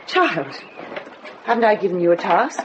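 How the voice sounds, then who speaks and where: An actress playing a commanding older nun in a radio drama, brisk and dryly wry, kindly underneath. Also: female voice, medium pitch, very animated, highs cut off, close to an everyday voice. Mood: wry, steady. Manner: brisk, dry, authoritative. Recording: noisy ambience behind dialogue.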